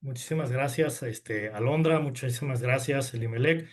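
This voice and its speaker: A man's voice: mid-range voice, normal speed. A middle-aged man with a Mexican accent, answering as a podcast guest in a grateful, earnest way. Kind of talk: interview answer.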